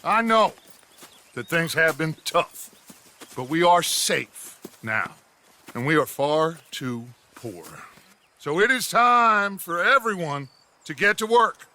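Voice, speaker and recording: Grandly theatrical outlaw gang leader. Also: male voice, fairly high pitch, sing-song, takes it slowly, bright, forceful tone, a little hiss or hum, heavily acted. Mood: rousing. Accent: American. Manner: theatrical, rhetorical, speechifying. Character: charismatic, commanding outlaw gang leader, middle-aged man. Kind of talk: dramatic video game acting, grand oratory.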